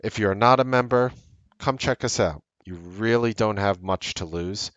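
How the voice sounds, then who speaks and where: A man's voice: lowish voice, normal speed, a bit bright, no echo, highs cut off. A man of about forty talks straight to the viewer. He presses his points hard and repeats them in an earnest, salesy way. The sound is close and dry, with no room behind it. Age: late 30s. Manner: emphatic, repetitive, salesy and earnest. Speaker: trading show host. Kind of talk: direct-to-camera market commentary.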